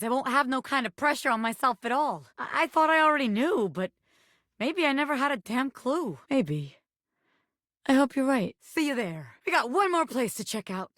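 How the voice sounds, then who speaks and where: Brash, high-pitched little gangster pin. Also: female voice, a bit bright, no room sound, clean studio sound. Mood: wistful, then bossy. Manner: cocky, slangy tough-talking gangster bluster. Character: brash living pin, wannabe gangster boss with a soft heart. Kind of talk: animated video game character voice acting.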